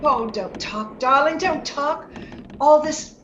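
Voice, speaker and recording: Woman acting a high-voiced, passionate, willful young woman. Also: slow, fairly bright tone. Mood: rapturous, tender. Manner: theatrical, impetuous. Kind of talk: old-time radio drama acting. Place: indoor room, remote video-call session. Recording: roomy, dull video-call audio, faint noise.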